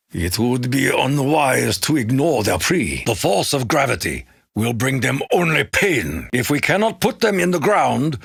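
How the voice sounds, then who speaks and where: An actor playing a solemn, honor-bound Japanese warrior in a video game, with a Japanese accent and a grave, formal, measured delivery in a gravelly, husky voice. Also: male voice, mid-range voice, a bit bright, no room sound, studio quality, heavily acted. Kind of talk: scripted video game character quote lines.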